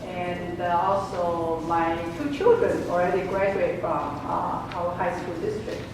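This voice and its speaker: A woman's voice: on the low side, measured pace. A middle-aged woman giving an impromptu thank-you speech, searching for words, with non-native English phrasing. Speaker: departing co-president of a parents association. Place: large room at a community seminar. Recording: very echoey room, distant mic, clear noise.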